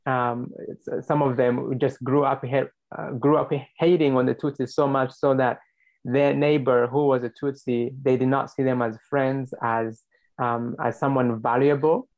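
A young man from Rwanda, a guest telling his story in a measured, hesitant way with many fillers. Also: mid-range voice, little pitch movement, darkish tone, poor audio. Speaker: guest, spokesperson. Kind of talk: interview storytelling.

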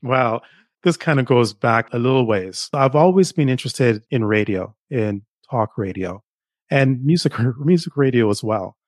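A man's voice: mid-range voice, lively intonation, a little slow, dry recording, clean studio sound. A middle-aged man from Jamaica, a guest author, telling stories enthusiastically in a casual interview. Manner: enthusiastic storyteller, some restarts, laughs.

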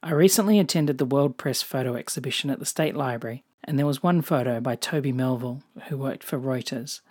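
Australian solo podcaster, calm scripted musings with a dry wit. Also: mid-range voice, a little fast, breathy edge. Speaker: podcast host. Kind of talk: scripted personal podcast monologue.

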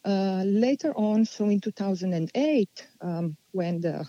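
A woman, answering interview questions unhurriedly and pausing as she thinks. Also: medium pitch, lively intonation, mellow, no echo, dull audio. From Slovenia. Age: 40s. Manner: unhurried, thoughtful, with many pauses and restarts. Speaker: guest.

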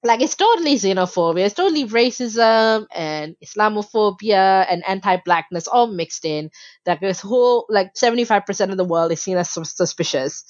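A woman from Malaysia speaks as an interview guest, with a passionate, filler-heavy rush of words. Her voice sits at a middle pitch. The recording is dry and a little dull. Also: lively intonation, no room sound. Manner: passionate, talks fast with many "like" fillers. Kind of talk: casual conversational interview.

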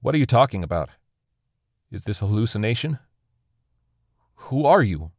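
A man's voice: on the low side, moderate pace, darkish tone, no room sound, studio quality, voice pushed up, close to an everyday voice. Man acting an anxious, raised-voice crash survivor. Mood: bewildered. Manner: urgent, bewildered questioning. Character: injured, disoriented male crash survivor, anxious.

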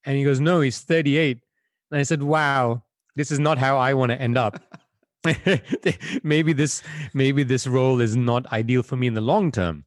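A man's voice: medium pitch, steady tone, unhurried, no echo, studio quality. A man from Belgium, a guest on an interview, answering in a reflective, conversational way with frequent fillers over a video call.

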